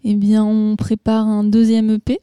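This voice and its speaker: A woman's voice: mid-range voice, completely flat delivery, unhurried, mellow, smooth, dry recording, studio quality. A young woman, a singer-songwriter, chatting casually in an interview with frequent laughs and hesitations. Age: young adult. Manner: chatty, laughs often, fills with euh. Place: festival, indoors. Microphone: microphone.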